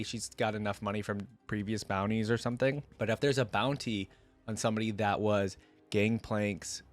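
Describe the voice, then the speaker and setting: Low-voiced man, casual reaction host. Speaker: host reacting to game lore. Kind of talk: reaction commentary, casual chat.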